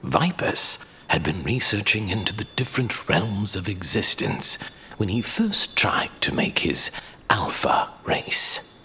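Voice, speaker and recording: An actor playing an elder mentor in a fantasy audio drama, telling dark war history in a breathy, theatrical way. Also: male voice, lowish voice, animated, a little slow, whispered, full character voice. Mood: explanatory.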